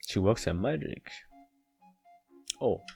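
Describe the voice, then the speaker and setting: A man's voice: lowish voice, expressive, slow, creaky, a little mellow, faint music behind. A man hosting a gaming video, reading a visual novel aloud and commenting on it.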